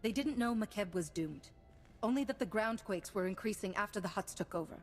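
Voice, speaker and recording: An actress playing a calm, principled government agent in a science-fiction video game, speaking in a measured, firm, diplomatic way. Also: female voice, mid-range voice, natural voice. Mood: firm, reasoning. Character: composed, principled female Republic agent, calm and confident. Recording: long hall reverb, music behind.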